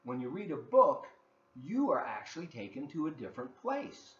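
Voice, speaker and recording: A man reading a children's story aloud from his living room, expressive and talking directly to the kids with asides. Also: a little high, moderate pace. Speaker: volunteer children's story reader. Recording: dull, roomy home recording with faint noise.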